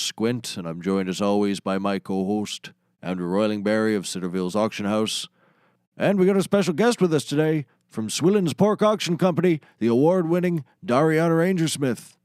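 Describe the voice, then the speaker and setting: A man playing a deadpan, self-important auctioneer who hosts a small comedy talk show. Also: on the low side, smooth, dry recording, studio quality, close to an everyday voice. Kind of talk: scripted comedy acting.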